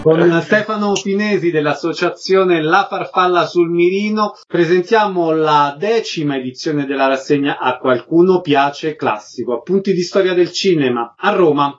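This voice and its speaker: Higher-voiced male radio host. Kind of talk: radio presenting and interviewing. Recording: slightly dull, lo-fi audio.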